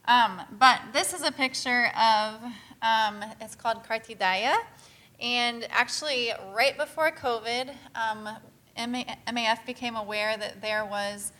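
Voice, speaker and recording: Bright, earnest missionary woman. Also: on the high side, steady tone, a little slow. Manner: casual, filler-heavy, earnest. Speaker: missionary speaker. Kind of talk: church presentation talk.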